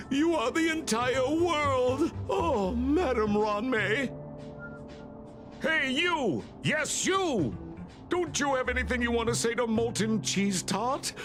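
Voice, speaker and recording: A man voicing a zealous, fawning devotee from a video game in a melodramatic, grandiose read-aloud. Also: high-pitched. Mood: melodramatic devotion, then zealous, insistent. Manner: melodramatic, grandiose, swooping delivery. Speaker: game streamer.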